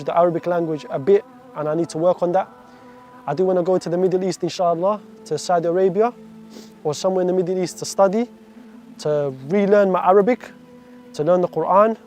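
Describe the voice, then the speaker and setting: A man's voice: high voice, steady tone, brisk, a little mellow, soft background music. A man in his twenties from Iraq, giving an earnest, heartfelt faith testimony full of devout phrases. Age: 20s.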